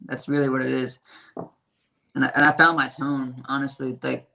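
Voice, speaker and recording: Man, raspy and hoarse, gravelly fry, flat delivery, rambling with fillers. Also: medium pitch, a little hiss or hum, low-quality recording. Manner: halting and rambling, with lots of fillers and swearing. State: hoarse from a strained voice. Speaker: independent musician. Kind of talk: casual interview talk.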